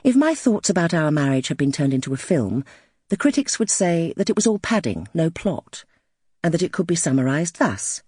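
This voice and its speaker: A woman narrating first-person literary fiction as an audiobook, in a wry, expressive storytelling manner. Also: low voice, moderate pace, breathy edge, no echo, clean studio sound.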